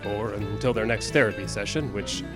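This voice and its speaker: A man's voice: on the low side, fairly even intonation, brisk, close to an everyday voice. A man playing a cheerful, reassuring adman in a parody radio commercial. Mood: reassuring salesmanship. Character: cheerful adman plugging a ghoulish vegan steakhouse. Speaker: advertisement announcer. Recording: live park show with guitar music. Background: plucked guitar music.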